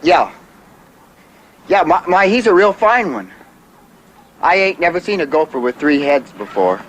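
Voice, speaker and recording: A man's voice: fairly high pitch, sing-song, a little slow, fairly bright tone, full character voice. An actor playing a nervous, fawning small-town American man, folksy and over-eager, in a vintage television drama with a noisy soundtrack. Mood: anxious, placating.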